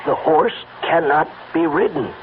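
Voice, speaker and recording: Man acting a stern fatherly judge, measured, radio play style, thin tinny sound with noise behind. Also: on the high side, natural voice. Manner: measured, admonishing. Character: grave, paternal male country judge, gently insistent. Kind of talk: old-time radio drama acting. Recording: tinny, noisy old radio recording.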